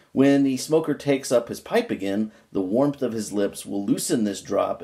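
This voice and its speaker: A man reads aloud from an old book in an amateur dramatic style, at a middling pitch. He leans on certain words for emphasis. Now and then he drops into a wry, muttered aside of his own. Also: darkish tone.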